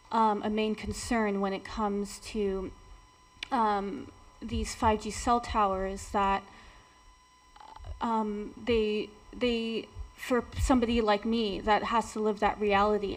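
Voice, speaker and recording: A woman in her thirties testifies at a podium microphone in a council chamber. She speaks slowly and haltingly, with a mid-range voice and many pauses and filler sounds. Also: mid-range voice, low-quality recording. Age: 30s. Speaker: public commenter. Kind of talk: public testimony at a council meeting.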